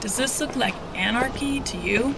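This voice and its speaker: Woman playing cocky smuggler lady, gravelly, slangy and taunting, audio drama w/ noisy ambience behind. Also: on the high side, normal speed. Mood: defiant. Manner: folksy slangy, taunting, irreverent. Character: brash, sly smuggler woman, irreverent streetwise guide.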